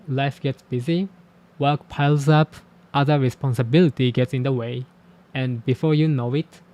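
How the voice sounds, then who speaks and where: A man's voice: mid-range voice, dark tone. Candid man. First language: Japanese. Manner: candid, self-deprecating, measured. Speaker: language teacher and channel host. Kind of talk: reflective talk to camera about learning languages.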